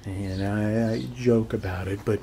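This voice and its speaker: A man's voice: fairly low pitch, steady tone, a little slow, warm tone, a little hiss or hum. An American man performing an ASMR roleplay as a chatty, boastful suburban neighbor, rambling in a folksy way and often checking in with the listener.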